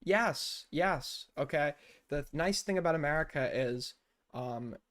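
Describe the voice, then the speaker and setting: A young man talking off the cuff on a live stream, blunt and rambling. His voice sits at an ordinary middle pitch, and he drops into frequent fillers and casual swearing as he thinks aloud. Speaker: streamer reacting to news clips.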